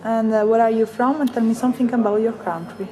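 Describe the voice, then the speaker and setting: A woman's voice: fairly high pitch, steady tone, fast, dark tone. A woman interviewer putting short, simple questions to her guests. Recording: clear crowd chatter behind.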